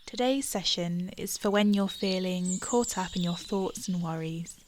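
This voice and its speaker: Soft-spoken woman guiding a meditation. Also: on the low side. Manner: slow, soft and soothing, with breathy near-whispered phrases. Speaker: meditation guide. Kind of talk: guided meditation.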